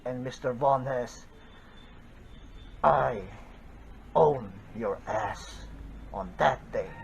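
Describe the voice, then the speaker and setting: A man from the Philippines, a pro wrestler cutting a slow, deliberate, menacing promo against a rival. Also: mid-range voice, gravelly.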